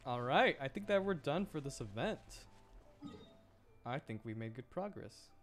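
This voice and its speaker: A man doing casual livestream gameplay commentary, excitable and thinking aloud as he plays. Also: mid-range voice, a little slow, faint music behind. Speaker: streamer.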